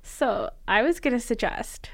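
A young woman co-hosting a casual podcast, animated and quick to laugh, with lots of "like" fillers. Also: fairly high pitch, no room sound, whispered. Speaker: podcast co-host. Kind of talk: casual chat.

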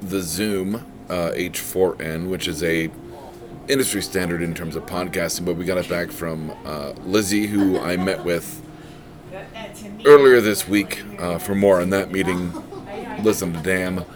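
A man's voice: low-pitched, unhurried, crowd noise. A man co-hosting a podcast in a restaurant, rambling casually with crude jokes and many fillers. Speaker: podcast co-host. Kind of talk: casual chat. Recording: podcast recorded in a restaurant with music behind.

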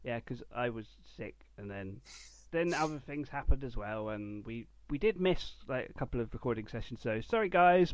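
Male podcast host, informal and self-effacing, very animated intonation, dry lo-fi sound. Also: a little high. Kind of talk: casual chat, hosting an animation podcast.

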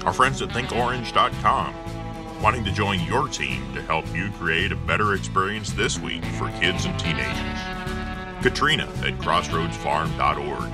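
A male narrator with a very deep voice reads a scripted sponsor message. Guitar music plays clearly behind him. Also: expressive, moderate pace.

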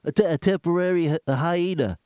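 A man's voice: a little high, a little fast, mellow, clean tone, no room sound, voice pushed up, close to an everyday voice. A man voicing a dim-witted, mouthy male sidekick who stammers and mangles words, on a small desk mic in a home studio. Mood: dopey. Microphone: small desk mic stand.

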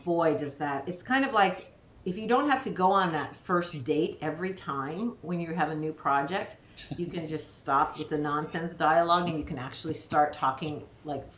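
Woman composer, 50s, low voice, talkative and warm with laughter, roomy sound. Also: a little hiss or hum. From US, of Latvian parents. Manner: chatty, laughs easily. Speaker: composer guest. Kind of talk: casual interview talk.

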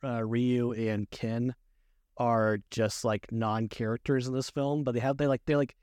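A man co-hosting a casual movie chat, speaking haltingly, repeating words and often chuckling. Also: mid-range voice, level tone, darkish tone, clear, resonant tone, dry recording. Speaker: podcast co-host.

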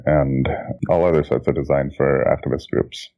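A middle-aged man from Indonesia, a guest telling his life story in a relaxed, reflective way, often restarting his sentences and chuckling. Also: extremely low pitch, normal speed. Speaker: guest, children's book author and graphic designer. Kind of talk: relaxed interview conversation. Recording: dull lo-fi audio.

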